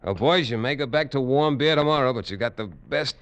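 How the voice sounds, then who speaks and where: A man's voice: medium pitch, animated, brisk, fairly bright tone. An actor playing a shrewd, sardonic lawman in 1940s radio drama, with a controlled and knowing manner. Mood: genial.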